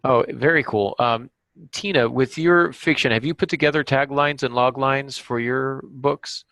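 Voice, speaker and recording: A man's voice: medium pitch, steady tone, a little slow, fairly bright tone, dry recording, poor audio. A man hosting a podcast, summarizing and explaining in a conversational interview style. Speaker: podcast host.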